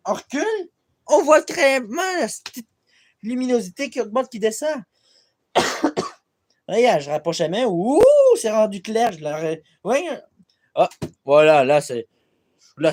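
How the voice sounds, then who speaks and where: A young man from Quebec talking straight to his tablet camera in a rambling, self-deprecating vlog, swearing often as he goes. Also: high-pitched. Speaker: vlogger. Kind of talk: casual rambling vlog monologue. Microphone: tablet camera mic.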